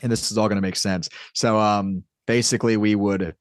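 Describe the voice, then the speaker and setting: A man with a fairly low voice talks casually as an interview guest. He explains at length, rambling and cutting into his own sentences. The sound is very dry, with no room around it. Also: steady tone, fairly quick, smooth, no room sound. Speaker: podcast guest, audio drama creator and writer.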